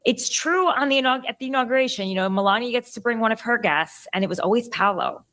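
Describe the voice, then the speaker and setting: A woman's voice: a little high, lively intonation, fast, a bit bright. A woman journalist in a casual podcast conversation, choosing her words carefully and hesitantly. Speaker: investigative journalist.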